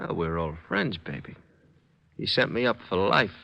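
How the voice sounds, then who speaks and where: A threatening old-radio gangster boss, forceful and mocking, muffled vintage recording. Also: male voice, sits low, lively intonation, normal speed, creaky, no room sound, full character voice. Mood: hard, threatening. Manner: mocking, threatening tough-guy talk. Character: menacing vengeful escaped-convict gang boss. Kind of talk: 1940s radio crime acting.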